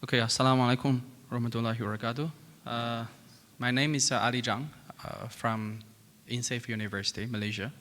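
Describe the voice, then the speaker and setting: A man from China, presenting research at a conference in a halting way with many fillers. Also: mid-range voice, steady tone, fairly bright tone. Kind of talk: academic presentation. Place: large conference hall. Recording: long conference hall reverb.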